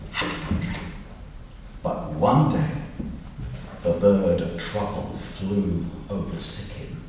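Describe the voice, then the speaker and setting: A man telling a story live to an audience of children and adults, animated and dramatic, putting questions to his listeners. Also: high-pitched. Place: reverberant room with a live audience. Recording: echoey hall, distant mic, noisy.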